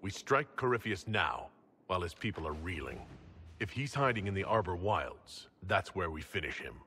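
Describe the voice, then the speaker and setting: An actor playing a stern, authoritative warrior leader in a fantasy game, speaking decisively. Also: male voice, sits low, big pitch swings, moderate pace, bright, forceful tone, big-room sound, a little hiss or hum, heavily acted. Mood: commanding.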